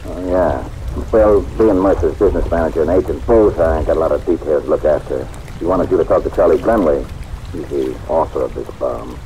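An actor playing a plain-spoken, deferential theatrical agent with colloquial, hesitant speech in an old radio drama. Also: male voice, a bass voice, brisk, slight background noise, rough recording. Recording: old radio broadcast under steady rain.

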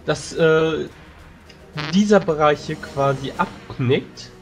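A man's voice: on the high side, slow, music in the background. A man playing a tabletop game, explaining a cavalry maneuver with frequent fillers. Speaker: player. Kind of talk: strategy discussion in a tabletop game.

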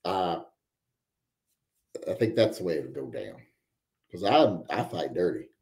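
A man's voice: low-pitched, very animated, measured pace, gravelly, a little mellow, echoey room. A man in his forties hosting a live stream from home, reading viewer chat and rambling with wry joking asides. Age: 40s. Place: home room with guns on the wall.

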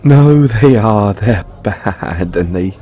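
Actor as a dorky, slow-talking British flirt. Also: male voice, fairly low pitch, lively intonation, warm tone. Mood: playful, sheepish. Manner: halting, giggly, bashful delivery. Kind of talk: audio roleplay acting.

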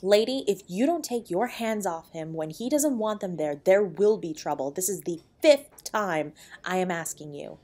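A woman playing a protective, loving wife in an intimate audio roleplay, with a breathy voice. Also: fairly low pitch, expressive, moderate pace, hardly any pauses, warm tone. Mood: firm, indignant. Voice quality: breathy, often dropping to a whisper. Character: protective loving wife comforting and defending her husband.

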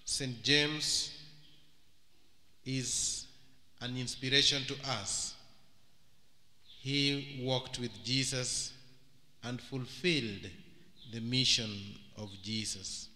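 Kenyan man, priest, unhurried homily, bright forceful tone, church reverb. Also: mid-range voice, steady tone. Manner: slow, measured preaching with long pauses, switching into Luo. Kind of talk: homily at Mass. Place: large church hall. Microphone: stand microphone and handheld mic. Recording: church hall with long reverb.

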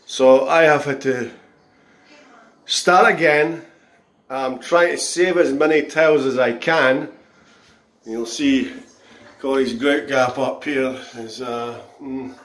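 A man reviewing a contractor's work in a handheld phone walkthrough of a half-tiled bathroom, speaking in a halting, critical way with many pauses. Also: mid-range voice, fairly bright tone. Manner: slow, halting, frequent pauses and restarts; disgruntled, critical. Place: bathroom under renovation, indoors. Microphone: phone camera held in hand.

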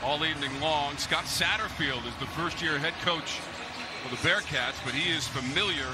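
A man's voice: fairly high pitch, normal speed. A man doing live play-by-play commentary for a college football broadcast at an open-air stadium. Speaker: play-by-play sports announcer. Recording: loud stadium crowd noise.

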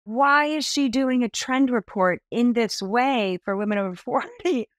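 A woman in her fifties, a stylist being interviewed on a podcast over a video call, telling stories with lively enthusiasm. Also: a little high, a little slow, no room sound, clean studio sound. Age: 50s. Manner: animated, enthusiastic storytelling with expressive hand gestures. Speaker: guest stylist. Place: small indoor room.

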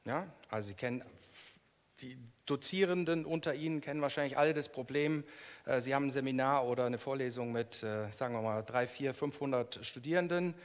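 A middle-aged man speaks as a lecturer, at an average male pitch. He explains in an academic style, halting and restarting often. The sound carries the long reverb of a hall. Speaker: professor giving a keynote. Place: lecture hall in front of an audience. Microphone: headset microphone.

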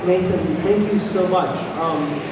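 A man's voice: high-pitched, slow. Polite male host in echoey hall. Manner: polite, prompting. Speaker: event host. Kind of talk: moderating a lecture. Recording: echoey hall, distant mic, loud noise.

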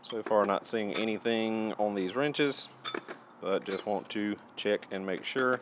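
A man's voice: lowish voice, unhurried, slight background noise. A man giving a casual, matter-of-fact and methodical product review as he presents tools.

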